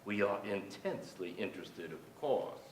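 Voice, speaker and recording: A man's voice: fairly low pitch, animated, normal speed, slight background noise. A mature man giving a dramatic reading from a script on a theatre stage. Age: middle-aged or older. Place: theatre stage before an audience. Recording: roomy theatre stage sound.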